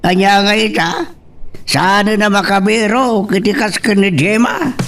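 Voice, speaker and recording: Man acting a high-pitched nagging Filipino husband. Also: unhurried, creaky, far from the mic. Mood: grumbling. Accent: Ilocano. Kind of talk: amateur Ilocano audio drama acting.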